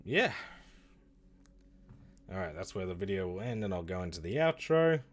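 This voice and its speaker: A man streaming and chatting casually about games, rambling and correcting himself, with his voice going hoarse. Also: sits low, normal speed. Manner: rambling, self-correcting, lively. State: losing his voice. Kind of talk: casual livestream chat reviewing games.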